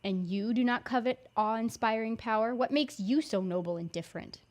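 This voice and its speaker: A woman's voice: on the high side, fairly quick, lightly acted. A woman acting in an audio drama as a young villainous sorceress, defiant and scornful, with a theatrical, threatening manner. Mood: sullen, skeptical.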